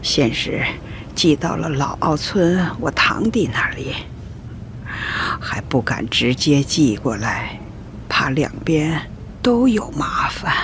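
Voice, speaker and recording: A woman playing a long-suffering old grandmother in a radio drama, recalling the past in a halting, sighing, wistful way. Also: sits low, very animated, whispered, exaggerated voice. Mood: reminiscent, wistful. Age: older. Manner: halting, sighing, reminiscent delivery. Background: cello and string music.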